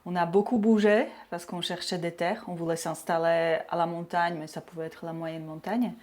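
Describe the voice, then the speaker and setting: Soft-spoken woman from Slovakia. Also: on the low side, fairly even intonation, normal speed. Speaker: interviewee, distillery farmer. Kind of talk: casual conversation.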